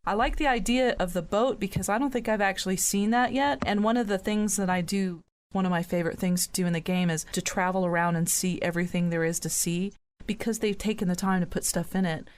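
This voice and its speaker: A woman's voice: mid-range voice, steady tone, brisk. A woman hosting a casual panel podcast and chatting along. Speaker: podcast host.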